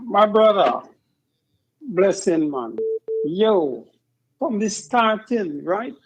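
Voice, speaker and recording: A man calling in to argue his point insistently, in rapid bursts and saying bro often. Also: high voice, sing-song, slightly rough. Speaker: caller. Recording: muffled phone line.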